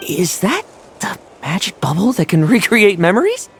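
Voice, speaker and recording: A man's voice: high-pitched, big pitch swings, normal speed, gravelly, a little hiss or hum, exaggerated voice. An actor playing a brash, cocky young male knight and vice captain, in video game voice acting.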